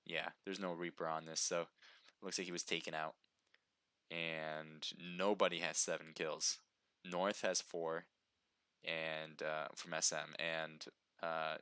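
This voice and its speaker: A man giving chatty play-by-play commentary on a live mobile game match, his voice slightly hoarse from a sore throat. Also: extremely low pitch, bright, forceful tone, no room sound, clean studio sound. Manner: chatty, upbeat, reads viewer names aloud.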